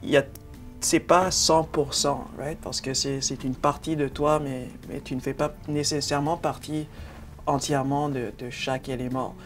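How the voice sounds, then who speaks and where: A man's voice: medium pitch, fairly even intonation, moderate pace, faint music behind. A man speaking thoughtfully in a documentary interview and slipping in English words.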